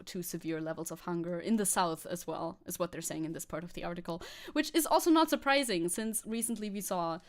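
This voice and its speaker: A woman's voice: brisk, few pauses. A woman from Germany, a streamer, talking bluntly through the news in casual chat and swearing often. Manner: blunt, swears often, lively pitch jumps. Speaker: VTuber streamer.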